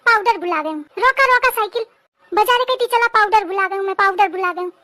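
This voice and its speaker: A woman's voice: very high-pitched, fast talker, sharp tone, dry recording, studio quality. A woman voicing a nagging cartoon village woman, exclamatory, with a rural eastern Hindi-belt accent. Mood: frantic. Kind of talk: comic cartoon skit dialogue.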